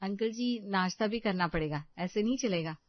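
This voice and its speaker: A woman's voice: mid-range voice, normal speed, no echo, muffled recording, natural voice. A woman voicing a respectful, sincere elder daughter-in-law in a family story. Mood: agreeable. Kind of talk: Hindi family story acting.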